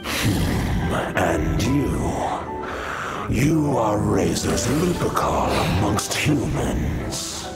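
Game creature role: proud, stern ancient wolf guardian, low-pitched and very unhurried, solemn and commanding. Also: male voice, very animated, over loud music, exaggerated voice. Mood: grave, solemn. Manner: slow, grand, archaic, commanding. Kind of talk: solemn video game voice acting.

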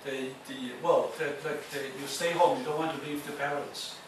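A man giving a university lecture, speaking haltingly with many fillers and restarts. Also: a little high, fairly even intonation. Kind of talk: classroom lecture. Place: lecture hall. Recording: echoey lecture hall, distant mic, noisy.

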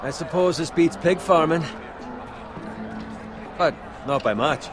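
Grumbly resentful young medieval squire, sarcastic, bit high voice, noisy game ambience behind. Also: male voice, takes it slowly, crowd noise. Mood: bitter sneering. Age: young adult. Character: bitter, resentful, sneering young male squire. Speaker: video game character actor. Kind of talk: cinematic fantasy game dialogue.

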